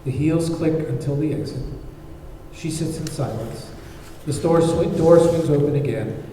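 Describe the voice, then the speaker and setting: A man's voice: a little high, a little slow, warm tone. A man reading out scene directions as narrator at a screenplay table read. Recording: very echoey hall, far mic, faint noise.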